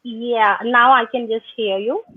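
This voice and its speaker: A woman's voice: a little high, fairly even intonation, measured pace, no room sound. A woman, a programme participant, speaking politely over an unstable video call. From India. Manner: polite, asks to repeat. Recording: tinny unstable call line.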